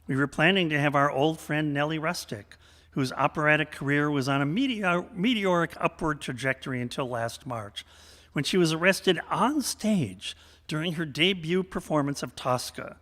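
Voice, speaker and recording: A man hosting a theatrical radio variety show in an animated, showmanlike way. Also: fairly high pitch, normal speed. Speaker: host. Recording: echoey hall, long reverb.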